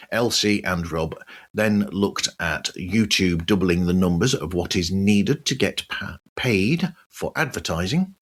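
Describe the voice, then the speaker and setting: Deep voiced guy doing a scripted podcast news roundup, really unhurried and measured. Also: fairly bright tone. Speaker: podcast host.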